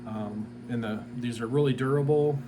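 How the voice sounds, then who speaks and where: A man with a mid-pitched voice talks through a product in an easy, informal review style. He is plain-spoken and unscripted, leaning on filler words as he explains. Clear ambient noise runs behind his voice. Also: normal speed. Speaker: tree service business owner reviewing a product.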